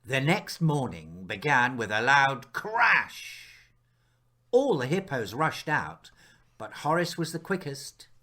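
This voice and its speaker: A man narrating a children's picture book as its author, telling it expressively with dramatic pauses and hushed moments. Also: mid-range voice, big pitch swings. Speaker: author reading his picture book aloud.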